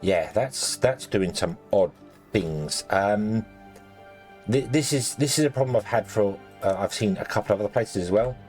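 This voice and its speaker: Croaky man with a low voice. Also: normal speed, a little mellow, soft background music. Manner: rambling, lots of ums and self-corrections. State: slightly croaky, losing his voice. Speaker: let's play host. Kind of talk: casual gameplay commentary.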